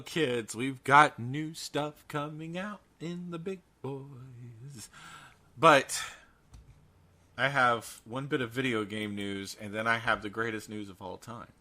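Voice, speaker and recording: A man's voice: mid-range voice, takes it slowly, pushed and bright. A man co-hosting a podcast, chatting casually through horror news in a theatrical, jokey and emphatic way. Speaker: podcast co-host.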